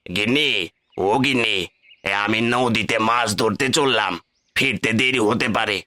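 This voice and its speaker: A man's voice: medium pitch, measured pace, sharp tone, no echo. A man playing a poor, devoted village fisherman husband in a cartoon. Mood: cheerful. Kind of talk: cartoon voice acting.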